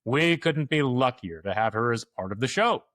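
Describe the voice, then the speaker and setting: A man hosting a sports podcast, reading announcements and introducing a guest in an upbeat, smooth way. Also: medium pitch, lively intonation, moderate pace, a bit bright, no echo, studio quality. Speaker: podcast host. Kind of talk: scripted podcast announcements and interview.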